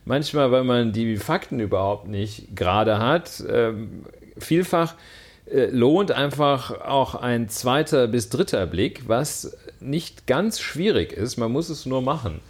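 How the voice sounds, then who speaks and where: A man co-hosting a podcast, a lawyer by profession, talking with dry, deadpan humor. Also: medium pitch, takes it slowly, slightly rough. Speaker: defense lawyer, co-host. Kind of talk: casual podcast chat. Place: indoor room with the host.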